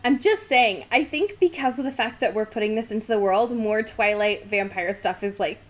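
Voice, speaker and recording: A young woman co-hosting a podcast in casual, jokey banter, animated and quick to break into laughter. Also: mid-range voice. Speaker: podcast co-host.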